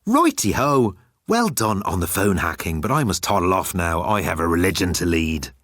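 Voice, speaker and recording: A man voicing a scheming, excitable, sceptical Irish leader with folksy, exaggerated turns of phrase. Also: low voice, normal speed, no echo, clean studio sound, exaggerated voice. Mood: breezy.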